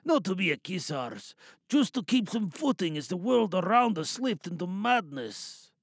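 Male actor as exhausted British soldier for audio drama, gravelly, expressive, bitter and world-weary. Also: high voice, moderate pace, no room sound, clean studio sound, exaggerated voice. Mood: weary, resigned. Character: weary, battle-hardened British soldier, whispering to a comrade.

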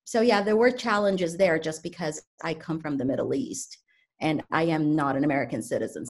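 A woman's voice: low voice, a little fast, darkish tone. A woman in her thirties from Kuwait, a realtor answering interview questions in a thoughtful way, with some restarts. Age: 30s. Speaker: realtor guest.

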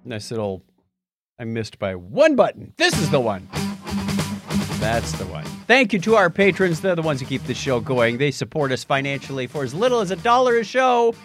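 A man's voice: medium pitch, big pitch swings, normal speed, over loud music. A man co-hosting a casual podcast, talking in a jokey, teasing way. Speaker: podcast co-host. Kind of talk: casual podcast chat with patron thank-yous.

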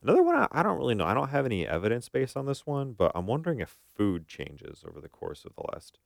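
A man's voice: medium pitch, wildly swooping pitch, quick pace, gravelly, no echo, clean studio sound. A man hosting a podcast in a casual conversational style, thinking aloud and often checking for agreement. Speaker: podcast host.

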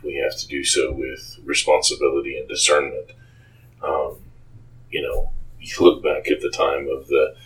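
A man giving conversational interview answers in a halting, thoughtful way, with frequent pauses. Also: a bass voice, a bit bright, rough recording. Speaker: interview guest, author and game designer.

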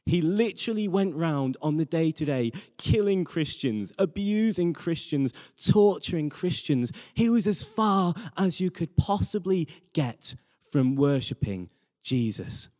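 A man's voice: on the high side, expressive, a little slow, a little mellow. A man preaching a sermon in an earnest manner, stressing his points with repeated phrases. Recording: reverberant hall.

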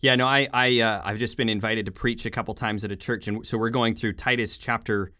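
A man from western Canada hosting a podcast interview, casual and upbeat with quick affirmations. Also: fairly low pitch, steady tone, sharp tone, clear, resonant tone, no echo, clean studio sound. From Alberta, Canada. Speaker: podcast host.

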